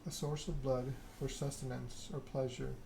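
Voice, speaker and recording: Male ASMR streamer, mid-pitched and flat in intonation, measured halting delivery, noisy ambient recording. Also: gravelly. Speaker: game streamer, host. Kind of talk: soft-spoken ASMR reading and stream chat.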